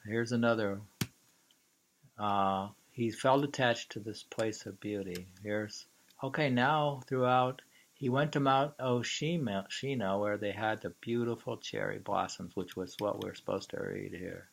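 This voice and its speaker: A low-pitched man reads poetry and talks it over in an informal way. His delivery is very slow and halting, with musing asides. The voice has a gravelly creak. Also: steady tone. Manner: halting and musing, muttering asides, drawn-out reading. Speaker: reader and commentator. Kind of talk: informal poetry reading with commentary.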